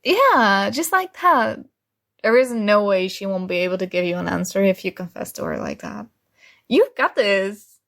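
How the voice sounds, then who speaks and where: A woman's voice: medium pitch, sing-song, moderate pace, gravelly, fairly bright tone. A woman playing a kind, earnest and oblivious female friend in an intimate ASMR roleplay, speaking casually and a little hesitantly. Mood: casual, encouraging. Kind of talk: ASMR roleplay, intimate one-sided dialogue.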